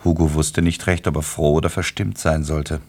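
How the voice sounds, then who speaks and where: A wry, composed storyteller with an extremely deep male voice. The tone is husky and the delivery measured. He reads literary narration with dry irony. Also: flat delivery, darkish tone.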